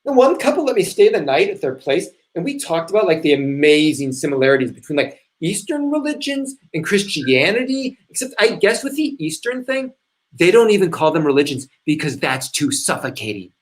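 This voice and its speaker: A man playing a remorseful, rambling spiritual seeker in a live stage play reading, halting and conversational in delivery. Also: a little high, very animated. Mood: wistful, earnest.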